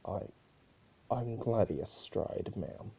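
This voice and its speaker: A man's voice: lowish voice, very slow, dark tone, slightly rough, muffled recording, full character voice. A man voicing a nervous young male pony guard who stammers and pleads deferentially in a story reading.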